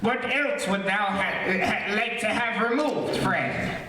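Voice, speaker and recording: Man playing a high, excitable radio-serial alien. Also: slow, full character voice. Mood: curious. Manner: archaic, exclamatory. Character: alarmed male alien friend with old-fashioned speech. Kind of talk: old-time radio serial acting. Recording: roomy stage, distant mic, faint noise.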